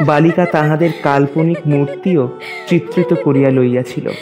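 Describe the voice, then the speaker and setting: A man narrating a literary story as a scripted reading, in a formal, measured storytelling manner. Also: on the high side, over music.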